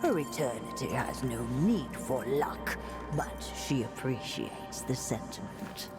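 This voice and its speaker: A woman's voice: a bass voice, very animated, exaggerated voice. A woman playing an aged, haughty alien empress in a video game cutscene, speaking in a formal, regal manner. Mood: solemn, commanding. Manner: slow, formal, regal delivery. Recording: loud music under the voice.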